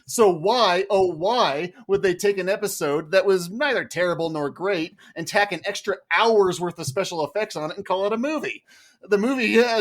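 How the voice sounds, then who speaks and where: A man arguing a humorous film critique as a mock prosecutor, in a mock-formal, exasperated way. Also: high voice. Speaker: podcast panelist playing a mock prosecutor.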